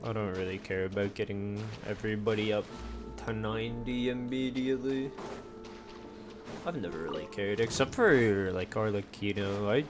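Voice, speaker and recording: A man's voice: on the low side, fairly even intonation, moderate pace. A man giving casual gameplay commentary, rambling and thinking aloud as he plays. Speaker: game streamer. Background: video game music.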